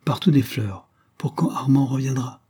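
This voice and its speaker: A man voicing a gentle, dreamy young madwoman with a soft, childlike, velvety manner of speaking. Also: mid-range voice, animated, normal speed, breathy edge, voice pushed up. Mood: dreamy, cheerful.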